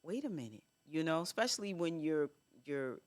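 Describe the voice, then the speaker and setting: A woman's voice: low-pitched, very animated, normal speed, a little mellow. A woman in her fifties co-hosting a podcast, talking casually and stressing points by repeating them. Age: 50s. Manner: emphatic, repeats phrases for stress. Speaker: podcast co-host. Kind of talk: casual chat.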